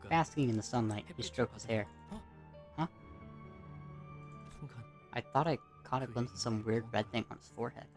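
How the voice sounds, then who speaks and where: An amateur storyteller reads scripted first-person narration. The voice sits at a middling pitch. Music plays behind it. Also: moderate pace, long pauses. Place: indoors at home.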